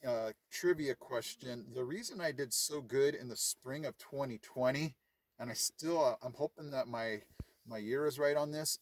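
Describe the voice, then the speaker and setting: A man chatting casually to his viewers, retelling conversations with lots of fillers. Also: medium pitch. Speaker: vlogger. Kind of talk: casual chat to camera.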